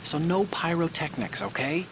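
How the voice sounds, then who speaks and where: A man's voice: fairly high pitch, expressive, normal speed, noisy background, natural voice. An American man playing an earnest, analytical tech expert who explains mission details to his partner in a video game. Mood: earnest, cautioning. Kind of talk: video game voice acting, mission briefing by radio. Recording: music behind the voice.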